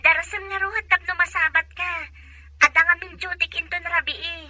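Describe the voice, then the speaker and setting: Helpful young woman friend, super high sharp dry voice, phone chat in a drama. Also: steady tone, brisk, no room sound, exaggerated voice. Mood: friendly. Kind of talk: Ilocano drama acting.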